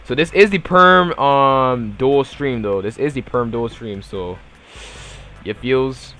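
Laid-back Bajan gaming streamer. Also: male voice, medium pitch, slow pace, bright, forceful tone. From Barbados. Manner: laid-back slangy banter, trailing off into mumbled fillers. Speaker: gaming livestreamer. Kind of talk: casual live stream chat, reading chat aloud.

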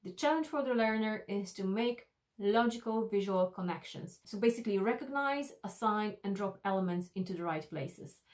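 A woman's voice: medium pitch, expressive, moderate pace. A woman in her thirties narrating an instructional tutorial as an e-learning instructor, explaining things directly to the viewer.

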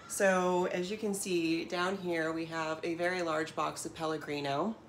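A low-pitched American woman talks in a casual, upbeat vlog style. She is chatty and leans on her words with enthusiasm. The recording is a home kitchen one on a camera mic, with clear background noise. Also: steady tone, measured pace. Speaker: home cook and vlogger. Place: small home kitchen, indoors.

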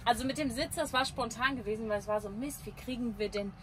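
A woman's voice: a little high, quick pace, noisy background. A woman in her thirties, a family vlogger, chatting casually to a handheld camera with many hesitations. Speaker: vlogger, mother travelling in a camper. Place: playground outdoors, then inside a camper van.

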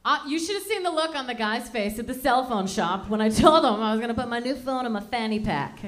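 Canadian lady in her 30s doing standup, high voice, chatty and rambly, handheld mic in a small club. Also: expressive, fairly quick. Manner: chatty, rambling, self-mocking. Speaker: stand-up comedian and MC. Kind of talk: stand-up comedy set. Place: small dark lounge with a live audience.

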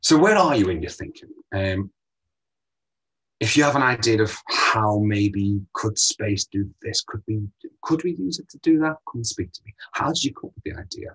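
A man in his thirties talks through a funding webinar on a video call, direct and brisk, firing rhetorical questions at his audience. His voice sits low and sounds strongly raspy and husky. The sound is a plain computer-mic recording from a small room. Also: a bit bright, poor audio. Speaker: space ambassador presenting a funding webinar. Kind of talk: webinar presentation over slides.

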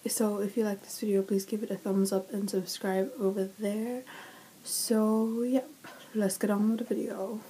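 A woman's voice: mid-range voice, flat delivery, moderate pace, mellow, a little hiss or hum, poor audio. A teenage girl chatting casually and rambling, self-deprecating and mumbly, to a webcam in her bedroom. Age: teens. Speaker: vlogger. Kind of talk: casual vlog chat. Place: bedroom, indoors.

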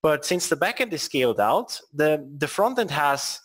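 A man's voice: fairly high pitch, very animated, moderate pace, a bit bright. Man, swiss accent, tech webinar presenter, playful asides, stumbles and repeats words. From Switzerland. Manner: joking asides, frequent restarts and repeated words.

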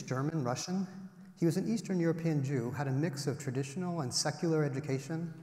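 A man in his forties giving an academic lecture from a podium, measured and explanatory, stressing each item as he lists them. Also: medium pitch, a little mellow. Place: large auditorium, at a podium. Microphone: podium microphone. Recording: roomy hall with long reverb.

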